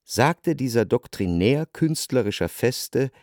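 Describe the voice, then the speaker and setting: An actor as a measured, reflective narrator reading scripted literary prose in a radio drama. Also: male voice, mid-range voice, lively intonation, darkish tone, no echo, studio quality. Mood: observant.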